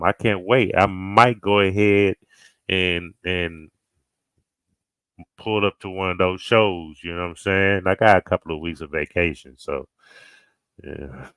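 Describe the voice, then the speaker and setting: Male reaction host: smooth, deep voice, dry close sound, casual slangy delivery with halting phrasing. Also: fairly bright tone, no echo. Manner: slangy, halting, searching for words. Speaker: music reaction video host. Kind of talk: casual, unscripted reaction chat.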